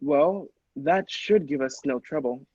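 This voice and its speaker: A man's voice: a little high, unhurried, a little mellow, no echo, dull audio. A man playing an earnest, shaken doctor in a radio play, agreeable and hesitant, with dramatic stammers. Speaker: podcast actor.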